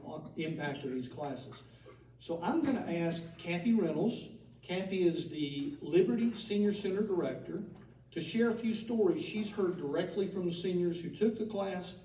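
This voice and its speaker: A man's voice: a little high, moderate pace, dark tone, a little hiss or hum. A man hosting an annual meeting, giving a formal address with some fillers and light humor. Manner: speaks with fillers and mild humor. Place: large meeting room. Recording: echoey room, distant mic, faint ambience.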